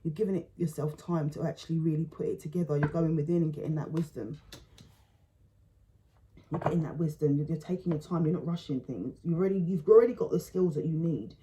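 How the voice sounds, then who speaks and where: A woman giving a relaxed spoken tarot reading, thinking aloud in halting phrases and often repeating words. She says her voice feels low today. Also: slight background noise, poor audio. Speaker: tarot reader.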